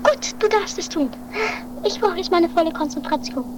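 A woman playing an earnest, theatrical lady tightrope walker in an improvised comic radio play, squeaky and affectedly polite. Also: moderate pace. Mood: tense, earnest. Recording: noisy home-made 1980s radio play recording.

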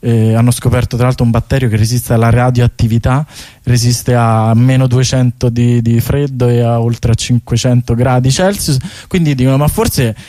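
A man's voice: medium pitch, steady tone, normal speed, warm tone, no echo, clean studio sound. A man speaking as a guest in a casual radio interview, explaining things in a rambling, hesitant, informal way with repeated words. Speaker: guest artist presenting a bike tour project. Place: radio studio. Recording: music playing behind the voice.

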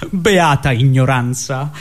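A man's voice: medium pitch, slow pace. A man performing absurdist sketch comedy in a theatrical, mock-earnest manner. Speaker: comic stage performer.

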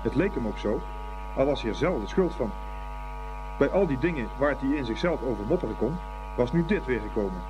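A man's voice: mid-range voice, expressive, fairly quick, low-quality recording. A man narrating a scripted story as the narrator of a radio play. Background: music.

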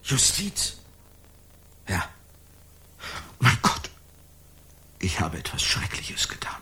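An actor playing a frightened amnesiac with a head wound in a German radio crime drama, groggy, pained and halting. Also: male voice, low-pitched, huge pitch swings, pushed and bright, full character voice. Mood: dazed, confused. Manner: halting, stammering, pleading. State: groggy, in pain.

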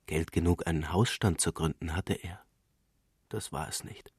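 A man's voice: low voice, normal speed, breathy edge, no echo, studio quality. A man reading literary prose as a scripted narrator.

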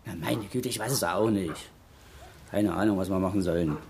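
An actor playing a soft-hearted, worried village policeman in a radio drama, reasoning in colloquial speech. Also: sits low, normal speed. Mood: worried, helpless. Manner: colloquial, reasoning, mildly exasperated.

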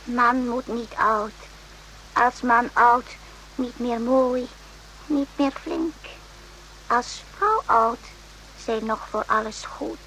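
A woman's voice: on the high side, steady tone, slow pace, pauses often, bright, forceful tone. A woman playing an old Vietnamese servant widow in a radio drama, speaking in broken, clipped pidgin phrases. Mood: flat, resigned. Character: old Vietnamese widow, terse, worldly-wise servant in army barracks. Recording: noisy hummy old radio recording.

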